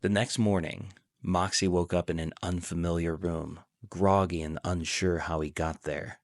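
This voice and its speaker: A man's voice: low-pitched, level tone, a little slow, dry recording, clean studio sound. A man reading scripted fan fiction as the narrator, telling the story in prose.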